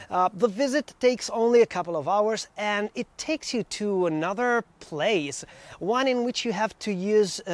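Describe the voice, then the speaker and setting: A language teacher giving an explanatory song translation lesson, going through it carefully word by word. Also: medium pitch.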